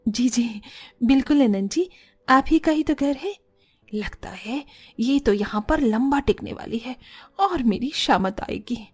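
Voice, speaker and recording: High woman playing a young new bride, dutiful, polite, privately grumbling, cartoon family story. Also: animated, a little slow, darkish tone, husky. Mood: reluctant, grumbling. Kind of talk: cartoon family drama acting.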